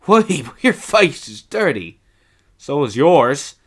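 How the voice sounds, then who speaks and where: A man voicing excitable, bickering young twin boys in storybook dialogue, taunting and exclaiming. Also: a little high, takes it slowly, husky, voice pushed up, exaggerated voice. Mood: teasing.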